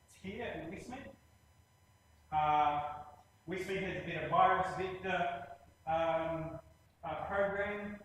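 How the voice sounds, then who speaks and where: A man with a New Zealand accent giving a presentation on hospital plans, speaking plainly with many pauses and a habit of saying "actually". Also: a little high, a bit bright, distant mic, slight background noise. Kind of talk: presentation in a lecture series. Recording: very echoey room, voice from afar, faint ambience.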